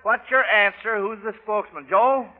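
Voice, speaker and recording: A man's voice: high voice, normal speed. An American man hosting an old-time radio children's quiz in a folksy, hesitant, chuckling way, often repeating himself. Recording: narrow-band old radio broadcast.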